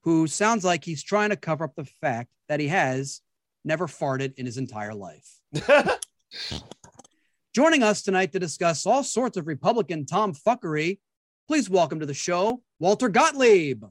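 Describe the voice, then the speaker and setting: A man's voice: on the high side, normal speed, no echo. A man hosting a talk show, delivering a scripted comedic monologue with wry timing. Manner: wry, lively delivery with laughs.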